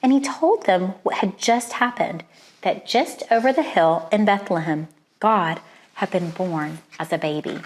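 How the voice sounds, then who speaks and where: A woman reading a children's storybook aloud as a storyteller, with expressive, deliberate phrasing and dramatic pauses. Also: a little high.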